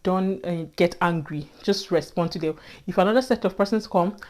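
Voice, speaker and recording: A woman's voice: lowish voice, moderate pace, darkish tone. A young Nigerian woman with a Nigerian accent, earnestly telling a personal story to her viewers and often repeating herself and adding "you know". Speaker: vlogger. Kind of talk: casual storytelling to camera.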